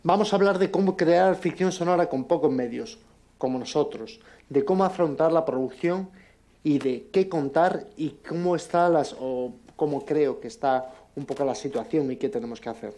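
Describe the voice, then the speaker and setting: A man with an accent from Spain giving an informal conference talk in a halting, conversational way. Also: on the high side, dark tone. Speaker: conference speaker, amateur audio drama producer.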